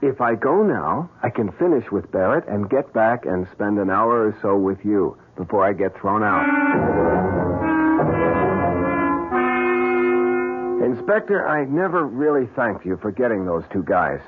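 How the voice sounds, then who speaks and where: An American actor playing a stubborn, brash photographer who argues informally, in a radio drama. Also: male voice, on the low side, expressive, moderate pace, few pauses, over music, lightly acted. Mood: upbeat, coaxing. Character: stubborn, quick-tongued male photographer, an everyman hero. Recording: muffled old radio broadcast.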